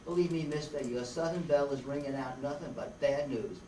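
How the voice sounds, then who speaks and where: A man's voice: mid-range voice, a little fast, warm tone, close to an everyday voice. A man playing a slangy, wisecracking hard-boiled tough guy in an amateur radio detective play. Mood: blunt. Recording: distant echoey room, faint noise.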